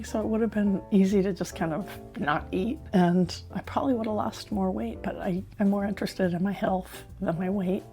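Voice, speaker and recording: Hoarse middle-aged lady vlogging, mellow low-key voice, soft music under it. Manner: candid, chatty, self-reflective. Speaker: health vlogger. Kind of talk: personal vlog to camera.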